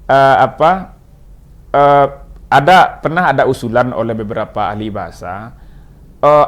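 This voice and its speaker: A man with a Minangkabau accent speaks as a guest on a talk show, at an average pitch. He explains his views at a measured pace and pauses often on hesitant fillers. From West Sumatra, Indonesia. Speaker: language expert guest.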